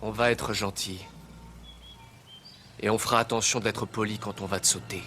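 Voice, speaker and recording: A man dubbing a crude street thug in a French film, speaking with slow, leering menace. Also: on the low side, fairly even intonation, bright, forceful tone, faint music behind.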